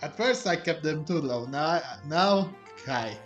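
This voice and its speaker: A man's voice: fairly high pitch, expressive, takes it slowly, a bit bright, echoey room, highs cut off, faint music behind. A man hosting a gameplay video with casual, hesitant commentary, searching for his words. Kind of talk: casual let's play commentary.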